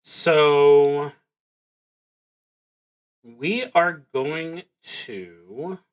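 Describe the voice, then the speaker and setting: A man streaming a solo tabletop roleplaying game, narrating his play and thinking aloud with hesitant pauses. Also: a little high, poor audio.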